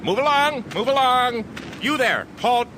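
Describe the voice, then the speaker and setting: Bureaucratic space-port officer: barking, swooping intonation, loud noise behind. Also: male voice, very high voice, lively intonation, a little slow. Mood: officious, stern. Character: officious, corruptible male Imperial customs officer. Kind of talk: sci-fi audio drama acting. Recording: very noisy outdoor-style dock effects.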